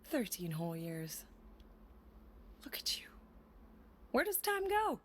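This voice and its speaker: A woman playing a warm, teasing mother of a teenage boy in a video game, with a husky, breathy voice. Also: on the high side, huge pitch swings, slow pace, a little hiss or hum, exaggerated voice. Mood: tender. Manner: teasing, affectionate. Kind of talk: naturalistic video game acting.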